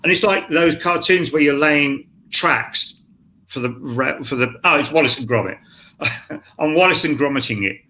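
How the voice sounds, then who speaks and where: Animated, forceful-voiced male scriptwriter. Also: on the high side, moderate pace, slight background noise. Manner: animated, swears, acts out his own thoughts. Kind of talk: candid self-deprecating panel chat. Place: online panel, home set-up. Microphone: video call.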